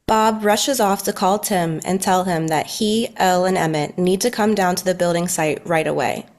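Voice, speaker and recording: A woman's voice: fairly low pitch, normal speed, darkish tone. A woman reading scripted narration at a table read of a story.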